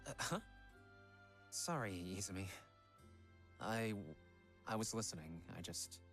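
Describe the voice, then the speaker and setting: A man voicing a gentle, earnest young pop idol in a visual novel game, soft-spoken and a little hesitant. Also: lowish voice, animated, unhurried, faint music behind. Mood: tender, hesitant. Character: gentle young male Korean pop idol, devoted fiancé.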